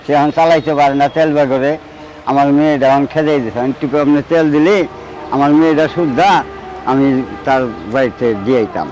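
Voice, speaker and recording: Man acting a mournful beggar father, Bangladeshi accent, rapid pleading, comic short film, faint music behind. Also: on the high side, a little mellow, natural voice, poor audio. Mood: sorrowful pleading. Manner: wheedling, pleading. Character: pleading older beggar father, humble and sorrowful.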